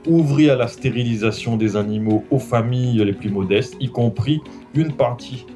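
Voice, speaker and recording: A man's voice: medium pitch, moderate pace, over music. A man delivering a prepared statement to the press.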